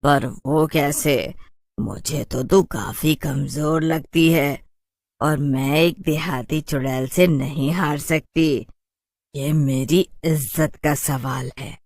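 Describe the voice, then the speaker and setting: A woman's voice: low-pitched, steady tone, slow pace, a little mellow, no room sound, clean studio sound. A woman voicing a haughty, spoiled, taunting city witch in a cartoon horror story. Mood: mocking. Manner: taunting, dismissive. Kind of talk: animated horror story dialogue.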